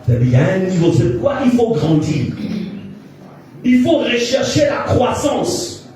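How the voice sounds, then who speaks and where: A man's voice: extremely high pitch, big pitch swings, measured pace, a little mellow, a little hiss or hum. A man in his fifties preaching a sermon, leading the congregation in call-and-response with emphatic repetitions. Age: 50s. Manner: emphatic, repeats phrases for the congregation to echo. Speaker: pastor. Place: church hall. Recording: echoey church hall, distant mic.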